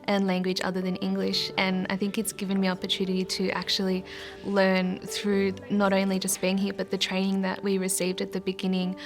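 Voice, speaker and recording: A woman's voice: medium pitch, completely flat delivery, brisk. A young woman student teacher giving an earnest testimonial. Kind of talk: video testimonial. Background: background music.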